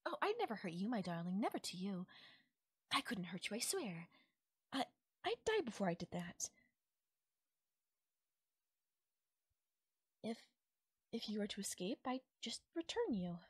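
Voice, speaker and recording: A woman's voice: medium pitch, very animated, moderate pace, slightly rough, no room sound, clean studio sound. A woman playing a possessive, doting fairy captor in an intimate ASMR audio roleplay, speaking sweetly and lilting, sometimes nearly whispering. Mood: pleading, devoted.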